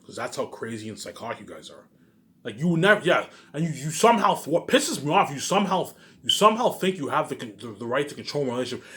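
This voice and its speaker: Male vlogger, medium pitch with big swings; rambling, accusatory rant, repetitive and profane. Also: sing-song, fast talker, a bit bright. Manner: emphatic and repetitive, punctuated with muttered tag words. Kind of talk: rambling, ranting monologue addressed to viewers.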